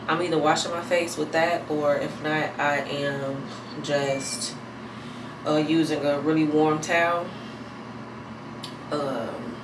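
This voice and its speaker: A pregnant woman in her thirties chatting casually to camera at home, thinking aloud in a relaxed, rambling way. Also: low voice. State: heavily pregnant. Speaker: vlogger. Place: small bathroom at home, indoors. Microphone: camera mic a few feet away. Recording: echoey room, distant mic, air conditioner hum.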